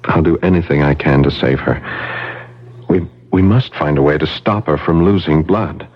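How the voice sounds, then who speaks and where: A man's voice: very deep voice, normal speed. An actor playing an anxious, earnest young woman's fiancé, a troubled doctor in a 1970s radio drama. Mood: desperate. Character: anxious, baffled doctor desperate to save his fiancée. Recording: dull 1970s radio broadcast.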